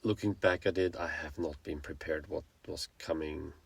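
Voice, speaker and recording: A man's voice: a bass voice, fairly even intonation, moderate pace, vocal fry, slightly rough, no echo. A man in his forties with an Austrian accent, a native German speaker, co-hosting a casual podcast in a frank, informal way, often searching for words. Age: 40s. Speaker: podcast co-host.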